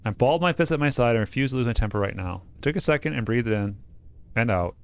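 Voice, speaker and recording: A man's voice: mid-range voice, lively intonation, fast talker, clear, resonant tone, natural voice. A man voicing a weary, hot-tempered woman doctor, blunt and sardonic, in a visual novel game. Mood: tense.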